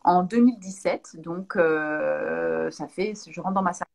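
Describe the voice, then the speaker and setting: A French woman from Lyon in her thirties, a naturopath answering interview questions in a thoughtful way, with pauses and restarts. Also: fairly low pitch, vocal fry, a little mellow. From Lyon, France. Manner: thoughtful, many hesitations and restarts.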